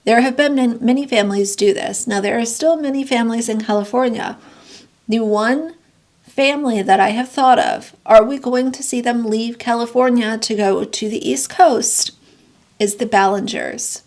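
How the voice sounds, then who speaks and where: A woman's voice: fairly high pitch, normal speed, a little mellow. A woman with a congested, nasal voice, giving blunt, sarcastic commentary and reading news excerpts aloud. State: congested, getting sick. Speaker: commentary youtuber. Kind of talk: opinionated commentary.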